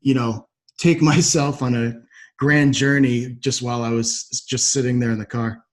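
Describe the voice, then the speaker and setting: A man's voice: medium pitch, steady tone, moderate pace. A man hosting a podcast interview, speaking in an agreeable, reflective conversational way. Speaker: podcast host.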